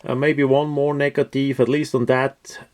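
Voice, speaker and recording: A man who is not a native English speaker gives a casual, unscripted product overview as a reviewer, in a halting, matter-of-fact way with many pauses. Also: medium pitch, level tone, darkish tone.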